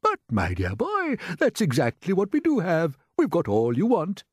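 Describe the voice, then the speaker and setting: Elderly storybook grasshopper, played by a man: excitable, emphatic, raised pitch. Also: normal speed, slightly rough, dry recording, studio quality, full character voice. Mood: excited. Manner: emphatic, exclaiming. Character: excitable, sharp old male green grasshopper.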